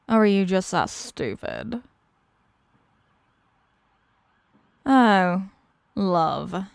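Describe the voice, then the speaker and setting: A woman playing a menacing, mocking demon villainess in a fantasy audio roleplay, theatrical and taunting, with deliberate dramatic pauses. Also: fairly high pitch, a little mellow, clean tone, no room sound, studio quality, full character voice. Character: possessing demon villainess, menacing, mocking, tormented by borrowed love.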